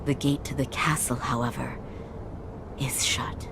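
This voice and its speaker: An actress playing a calm, courteous woman in a video game, speaking in a measured, formal and polite way. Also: very deep voice, in a whisper, rough recording. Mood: composed, polite. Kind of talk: scripted video game dialogue.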